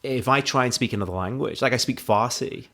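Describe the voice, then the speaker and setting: A man's voice: mid-range voice, brisk, fairly bright tone. A man hosting a podcast, talking casually and reflectively while explaining his ideas at length. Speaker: podcast host.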